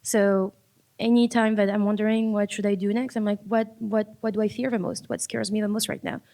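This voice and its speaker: A woman's voice: mid-range voice, level tone, a little fast, creaky, warm tone. A woman from France, speaking reflectively on a public panel and often repeating words as she looks for the next one. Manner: reflective, repeats words while finding them. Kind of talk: panel discussion.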